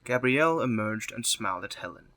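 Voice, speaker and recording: A man's voice: medium pitch, animated, brisk, bright, forceful tone, slight background noise. A man narrating a scripted story as the narrator of an audio drama.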